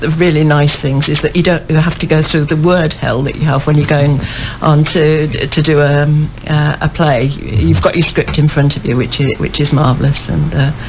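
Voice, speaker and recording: A woman's voice: deep voice, steady tone, moderate pace, hardly any pauses. A middle-aged woman chatting hesitantly as a guest in a casual radio interview. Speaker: interview guest, amateur actor. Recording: dull, clearly noisy recording.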